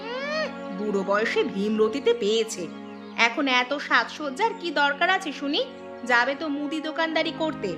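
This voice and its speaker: A woman's voice: sits high, very animated, moderate pace. A woman voicing a sarcastic, scolding village shopkeeper's wife in a cartoon story. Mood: impatient. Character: nagging, sharp-tongued village shopkeeper's wife. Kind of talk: Bengali cartoon story dialogue. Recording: clear music bed behind voice.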